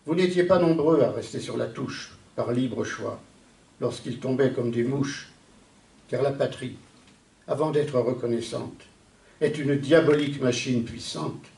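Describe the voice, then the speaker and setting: A man recites poetry in a solemn, declamatory way, in measured phrases with deliberate pauses. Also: mid-range voice, vocal fry, a little mellow. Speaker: poet reciting a poem. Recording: echoey, distant from the mic.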